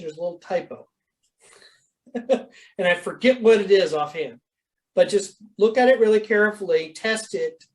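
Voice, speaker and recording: Instructor, mid-pitched, informal coaching Q&A on a video call, explanatory and conversational. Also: a little mellow. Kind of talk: informal question-and-answer coaching.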